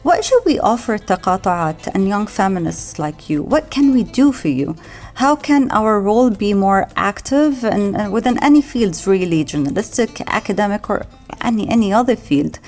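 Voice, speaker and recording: A woman's voice: mid-range voice, animated, moderate pace, a little mellow, faint music behind. A woman hosting a podcast and putting interview questions to a guest.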